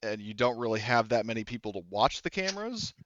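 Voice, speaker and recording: A man casually improvising as a tabletop game master, explaining haltingly and often restarting his sentences. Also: mid-range voice, very animated, fairly bright tone, no echo, dull audio.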